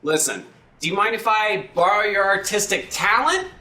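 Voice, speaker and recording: A man playing a tabletop roleplaying game, talking casually and in a rambling, animated way. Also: sits high, moderate pace. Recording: roomy, distant mic, faint noise.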